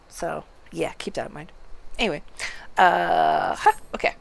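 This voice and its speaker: Slow-paced casual woman streaming a game. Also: deep voice, expressive, creaky. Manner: casual, thinking aloud. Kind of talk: live playthrough commentary and reading quest text aloud.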